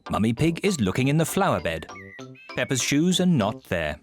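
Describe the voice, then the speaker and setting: A man narrating a children's cartoon in a calm, matter-of-fact storybook manner. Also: mid-range voice, moderate pace. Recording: studio voice-over with music behind.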